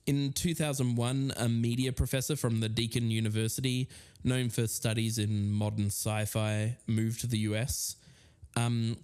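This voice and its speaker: A man with a slightly low voice co-hosts a podcast. He narrates stories and reads quotations aloud for the listeners. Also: a little mellow, clean tone. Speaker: podcast co-host. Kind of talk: podcast narration, reading quotes.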